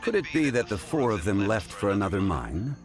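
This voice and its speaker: A man playing a calm, deductive young investigator in a video game, speaking in a measured, formal way. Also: on the low side, music in the background. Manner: measured, analytical, formal phrasing. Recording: game soundtrack under voice.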